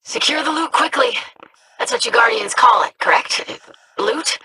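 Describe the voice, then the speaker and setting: An actress voicing an earnest young female alien scholar in a video game, creaky-voiced, formal, precise and courteous. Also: high-pitched, big pitch swings, normal speed, noisy background. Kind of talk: video game character voice lines.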